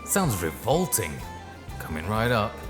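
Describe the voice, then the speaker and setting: A man's voice: lowish voice, sing-song, a little slow. A man playing a blunt but friendly older pub landlord in an audio drama. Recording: music behind the voice.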